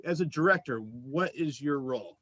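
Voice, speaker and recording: A man's voice: medium pitch, lively intonation, normal speed, fairly bright tone, dry recording. A man hosting an interview show, asking questions in a conversational style.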